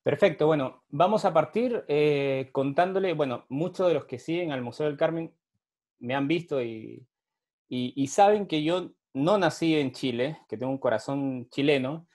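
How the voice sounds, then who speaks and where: A man's voice: on the high side, normal speed, darkish tone. A young man from Peru hosting a virtual history talk on a video call from a home study, with many fillers and restarts. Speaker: host and historian. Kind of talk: virtual panel conversation. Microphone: video call with earphone mic.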